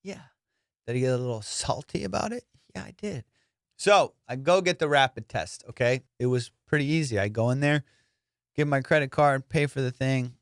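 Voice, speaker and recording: A man's voice: medium pitch, normal speed, no echo, studio quality. A young adult man, a comedian and podcast host, telling boastful, profane stories in a casual monologue. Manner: profane, boastful storytelling with excited bursts.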